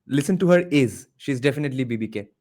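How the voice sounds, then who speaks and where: Male streamer, mocking and sarcastic, mid-pitched. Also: animated, fast talker, a little mellow. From India. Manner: sarcastic, laughing, swears freely. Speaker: live-stream host reacting to a clip. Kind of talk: casual mocking commentary.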